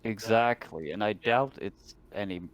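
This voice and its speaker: A man's voice: fairly low pitch, a little slow, crowd noise. A man chatting casually as a player in a tabletop roleplaying game.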